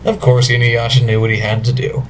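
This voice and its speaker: A man's voice: medium pitch, level tone, fairly quick, crowd noise, rough recording. A man reading scripted narration for an amateur audio drama.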